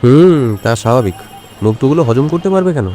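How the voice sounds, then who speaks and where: Male actor as seasoned detective, older, authoritative, measured, average pitch, audio drama. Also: animated, dark tone, a little hiss or hum, close to an everyday voice. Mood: thoughtful.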